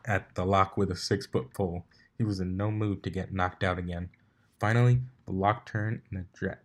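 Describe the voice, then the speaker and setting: Man, low pitch, amateur fan fiction narration, occasional stumbles and reread phrases.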